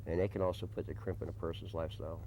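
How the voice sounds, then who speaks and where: An American man answering interview questions in a deliberate, plain-spoken way with folksy turns of phrase. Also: low voice, little pitch movement, warm tone. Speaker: interviewee, desert camp resident. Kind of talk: unscripted documentary interview answers. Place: informal desert camp on location. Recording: noisy early 1990s field video recording.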